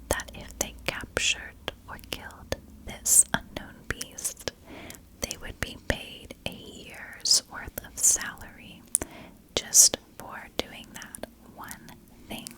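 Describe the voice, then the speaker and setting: Slow whispering woman, ASMR storyteller. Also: low-quality recording. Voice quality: soft unvoiced whisper. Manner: slow, deliberate, broken into short phrases. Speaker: ASMR storyteller, channel host. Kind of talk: whispered ASMR narration of a historical mystery.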